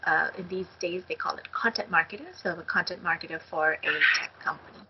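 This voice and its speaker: A middle-aged woman from India, co-author, talking on a video call from a home study with a headset, halting with many ums. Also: lowish voice, steady tone, very noisy. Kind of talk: casual talk on a video call. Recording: dull lo-fi video call audio.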